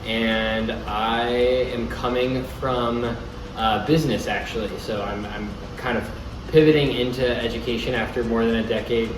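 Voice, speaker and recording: A man's voice: mid-range voice, fairly even intonation, unhurried. A man in his thirties who teaches math, speaking hesitantly with many fillers. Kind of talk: interview clip. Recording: distant echoey mic with background noise.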